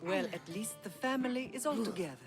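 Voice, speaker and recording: A woman's voice: fairly high pitch, lively intonation, fairly quick, music in the background. A woman playing a composed, wise mother in a video game cutscene. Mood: wry.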